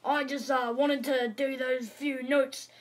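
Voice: high-pitched, steady tone, slow pace. A performer voicing a bossy, grouchy principal who repeats orders, in an improvised play. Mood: stern, bossy.

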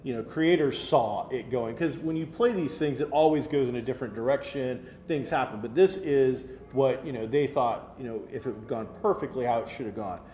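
A man's voice: mid-range voice, brisk, hardly any pauses, warm tone. Echoey, explanatory American man. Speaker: co-host of a geek culture show. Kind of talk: casual review chat. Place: medium-sized indoor room, seated at a table. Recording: roomy echo with faint background noise.

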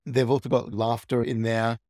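A man's voice: medium pitch, flat delivery, normal speed, darkish tone, dry recording, studio quality. A man hosting a tech tutorial, casually walking through a screen recording and thinking aloud with small restarts.

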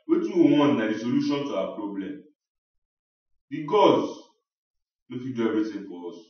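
An actor with a Nigerian accent playing a village king, a measured and formal leader reasoning with his people in a radio drama. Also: on the low side, lightly acted. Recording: dull, echoey, distant from mic.

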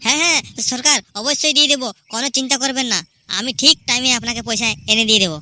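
A woman's voice: sits high, rapid-fire, bright, forceful tone, dry recording. A performer voicing several fawning male characters in a cartoon. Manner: eager and fawning. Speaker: voices several cartoon men. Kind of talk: Bengali cartoon voice acting.

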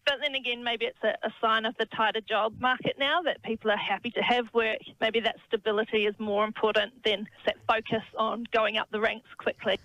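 A woman spokesperson gives an interview soundbite. Her voice is bright and flat in intonation, on a slightly lo-fi recording. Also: a little high, brisk, hardly any pauses, dry recording. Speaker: company spokesperson. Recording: dull band-limited interview clip.

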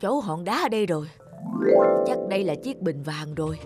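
A woman's voice: fairly low pitch, expressive, moderate pace, loud music behind the voice, natural voice. A woman voicing a sly, lazy, grumbling fat old man in a cartoon folktale, blustering and scheming. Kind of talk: cartoon folktale character acting.

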